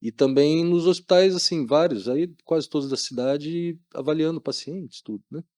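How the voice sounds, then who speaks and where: A man's voice: on the high side, normal speed, dark tone, dry recording, studio quality. A middle-aged Brazilian man chatting informally as a podcast guest, using lots of colloquial tags. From Maringá, Brazil. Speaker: guest, doctor. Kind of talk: casual podcast interview talk.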